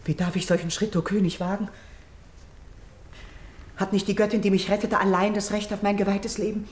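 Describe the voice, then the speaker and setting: Actress as solemn, noble Greek priestess. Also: female voice, mid-range voice, flat delivery, a little mellow, natural voice. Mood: earnest, pleading. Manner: solemn, measured, elevated declamation. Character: noble Greek king's daughter turned priestess, earnest and steadfast. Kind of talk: classical verse radio drama acting.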